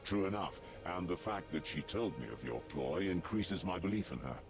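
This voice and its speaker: A man voicing a grave, loyal demonic warrior in a video game, stern and formal, with a gravelly voice. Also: sits low, lively intonation, moderate pace. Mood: grave, resolute. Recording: music behind.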